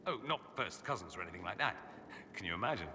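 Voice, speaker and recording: An actor voicing a witty, urbane aristocratic mage in a video game, with a flamboyant, playful, arch delivery. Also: male voice, sits low, animated, fast talker, pushed and bright, long reverb tail, slight background noise, heavily acted. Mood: playful, wry.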